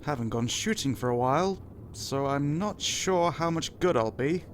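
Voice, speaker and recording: A man plays a reluctant gentleman marksman, polite and nervous. His voice sits fairly high, with faint gunfire ambience behind it. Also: animated, moderate pace, slight background noise, close to an everyday voice. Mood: uneasy. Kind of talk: audio drama acting.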